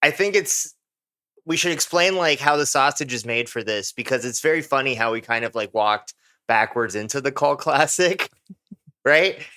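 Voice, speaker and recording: Chatty American man, bright clear voice. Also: medium pitch, animated, moderate pace. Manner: rambling, many "like" fillers. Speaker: podcast co-host. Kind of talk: casual chat.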